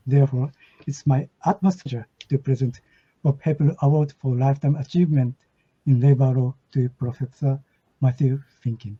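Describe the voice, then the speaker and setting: A man's voice: mid-range voice, fairly even intonation, normal speed, breathy edge. Man reading a formal award speech, muffled. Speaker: award presenter. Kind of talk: read prepared speech. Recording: muffled lo-fi audio.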